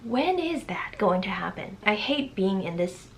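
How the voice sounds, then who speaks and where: Gravelly anxious young woman vlogging. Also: mid-range voice, big pitch swings, a little slow, a little hiss or hum. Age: 20s. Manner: anxious, rambling, laughs often. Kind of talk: casual confessional vlog.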